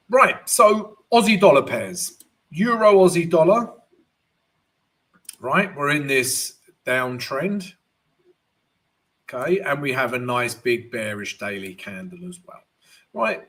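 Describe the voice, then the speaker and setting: A man with a croaky voice, hosting a live trading analysis stream in a chatty way, often calling viewers guys and tagging lines with "right?". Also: medium pitch, animated, slow, long pauses, a bit bright.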